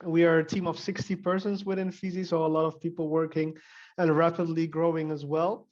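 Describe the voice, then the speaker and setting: A man's voice: fairly high pitch, little pitch movement, fairly quick, a little mellow. A young man introducing himself as a mortgage consultant in a friendly, self-joking way. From Netherlands. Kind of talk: casual introduction. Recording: muffled call audio.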